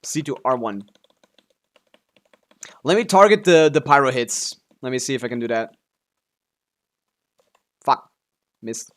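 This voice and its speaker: A man live streaming a video game, chatting casually in a laid-back way with some offhand swearing as he comments on play. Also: mid-range voice.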